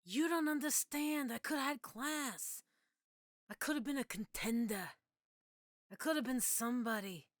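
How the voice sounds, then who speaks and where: A woman's voice: fairly high pitch, moderate pace, no room sound, studio quality, pitched above the performer's own voice, close to an everyday voice. A woman acting a regretful, washed-up man in a wounded, pleading film drama monologue. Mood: bitter, regretful. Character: bitter, regretful washed-up man, a failed boxer.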